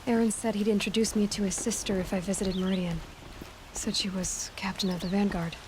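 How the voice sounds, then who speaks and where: A woman's voice: mid-range voice, flat delivery, fairly quick, noisy background, natural voice. A woman playing a determined, dry-witted young outcast huntress in a video game. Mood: pensive. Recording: loud game music behind. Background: music.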